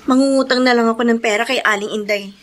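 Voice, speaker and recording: Actress as a high-pitched, earnest young daughter. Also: female voice, fairly even intonation, fast, natural voice. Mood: worried, resolute. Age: young adult. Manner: pleading, earnest. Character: dutiful, worried young elder daughter. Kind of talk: Filipino radio drama acting.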